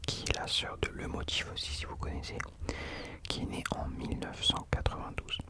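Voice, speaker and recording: Guy whispering asmr style, soft informal chat, right up close on the mic. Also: moderate pace. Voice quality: unvoiced whisper. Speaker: host of an ASMR video channel. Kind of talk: whispered ASMR talk about rap.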